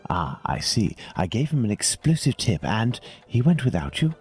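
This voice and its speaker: An actor playing a brilliant, composed English gentleman detective in a video game, speaking in formal, deliberate, analytical phrases. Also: on the low side, big pitch swings, faint music behind. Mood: coolly persuasive. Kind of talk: scripted video game acting.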